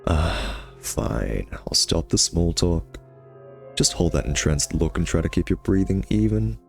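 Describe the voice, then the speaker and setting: A man's voice: low-pitched, big pitch swings, a little slow, gravelly, soft background music, exaggerated voice. A man playing a cocky, sardonic vampire nemesis who teases and taunts the listener in an intimate ASMR roleplay. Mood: smug, teasing.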